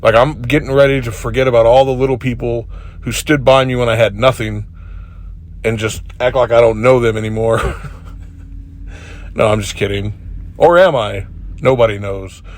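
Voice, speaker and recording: A truck driver with a head cold talking in a laid-back, joking way with plenty of swearing, in a casual driving vlog. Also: male voice, medium pitch, steady tone, normal speed, pauses often. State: congested with a cold.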